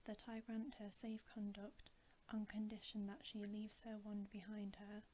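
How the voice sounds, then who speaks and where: A woman whispering a bedtime story in a hushed, breathy, gentle and unhurried ASMR style. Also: medium pitch, completely flat delivery, a little hiss or hum. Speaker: ASMR reader.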